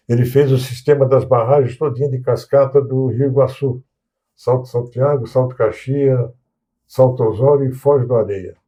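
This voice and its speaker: A man in his sixties with a Brazilian accent, a guest in an interview, recalling his career at an unhurried pace with many restarts and hesitations. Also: medium pitch, warm tone. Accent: Brazilian Portuguese, São Paulo coast. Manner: reminiscing, with frequent restarts and hesitations. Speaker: guest, civil engineer. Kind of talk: casual interview talk.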